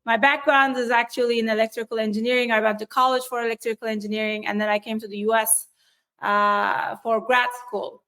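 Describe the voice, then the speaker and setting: A woman's voice: a little high, little pitch movement, brisk, fairly bright tone. A woman from Turkey with a Turkish accent, answering interview questions on cybersecurity in an explanatory way, with frequent fillers and restarts. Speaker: cybersecurity executive guest.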